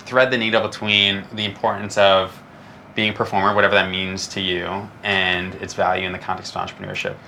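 A man's voice: sits low, little pitch movement, fairly quick, gravelly, bright, forceful tone, slight background noise. A man hosting a podcast, asking long, winding questions full of hedges and restarts. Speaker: podcast host. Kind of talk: interview questions.

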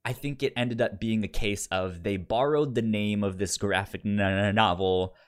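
A man co-hosting a casual podcast chat, talking informally and haltingly, with a stutter that repeats sounds and syllables. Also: lowish voice, smooth. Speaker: podcast co-host.

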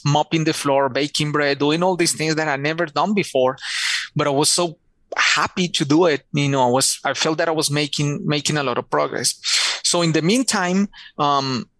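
A man's voice: fairly high pitch, a bit bright, dry recording. A middle-aged man from Colombia with a Colombian Spanish accent, a podcast guest telling his story in an earnest way and often repeating words. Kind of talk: relaxed storytelling interview.

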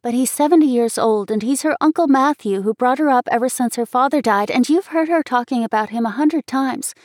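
An earnest little girl from a storybook, acted out by a woman reader. Her voice is high, and she speaks eagerly and emphatically, as if everything matters. The recording is dry and close. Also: brisk, darkish tone, no room sound, lightly acted. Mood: urgent. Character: earnest, excitable little girl pleading and confessing.

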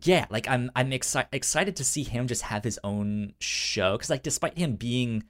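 Animated man with a frequent stutter. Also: medium pitch, normal speed. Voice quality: frequent stutter, repeating sounds and syllables. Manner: animated, slangy, informal. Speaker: podcast host. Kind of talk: casual pop-culture podcast chat.

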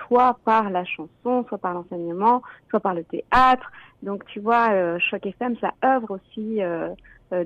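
A woman's voice: medium pitch, no echo. A young woman from France speaks as a guest on a radio show, earnest and full of hesitations. Her voice comes through a narrow, tinny phone line. The sound is dry, with no room around it. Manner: earnest, many fillers. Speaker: teacher and education student, guest. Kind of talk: radio interview.

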